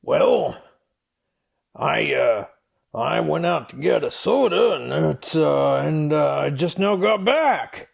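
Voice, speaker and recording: A man voicing a rambling, slow-witted folksy storyteller in a comedy skit, hesitant and meandering as he goes. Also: fairly high pitch, sing-song, creaky, husky, pitched above the performer's own voice, heavily acted. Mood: sheepish, rambling. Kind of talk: comedy skit read as dialogue.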